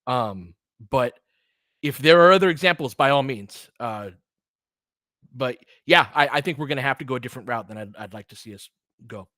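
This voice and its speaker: A man's voice: mid-range voice, expressive, normal speed, fairly bright tone, dry recording, studio quality. A man giving casual, opinionated basketball play-by-play as a fan host, chatting conversationally with listeners between calls. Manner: conversational, opinionated, answers chat commenters by name.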